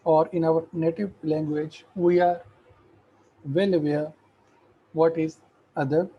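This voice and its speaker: Slow, husky male lecturer. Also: on the high side, steady tone, muffled recording. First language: Urdu. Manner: slow, measured, with hesitant fillers. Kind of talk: academic lecture.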